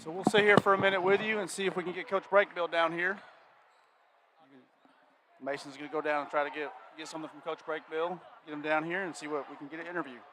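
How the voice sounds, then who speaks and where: A man's voice: fairly high pitch, steady tone, fast, background noise. A man doing color commentary at a basketball game and interviewing the coach, easygoing with many fillers. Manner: easygoing, filler-heavy delivery. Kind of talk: casual sports commentary.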